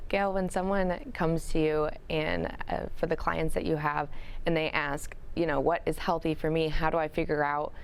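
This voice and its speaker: Young woman host, low voice, gravelly fry, polished delivery. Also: little pitch movement, moderate pace. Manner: polished, reads introductions smoothly. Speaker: TV show host. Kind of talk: scripted TV hosting and interviewing.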